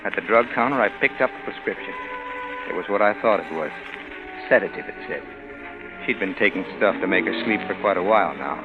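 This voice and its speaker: A man's voice: fairly low pitch, expressive, a little fast. An actor playing a greedy, scheming American husband in a vintage noir radio drama, narrating and acting his part. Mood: brooding, calculating. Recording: muffled old radio broadcast with music.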